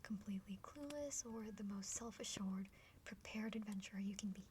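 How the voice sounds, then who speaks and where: A woman voicing a friendly, curious younger half-dragon sister in a breathy, chatty whispered ASMR roleplay. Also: medium pitch, moderate pace, darkish tone, lightly acted. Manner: chatty, playful, hesitant stammers. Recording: faint background noise.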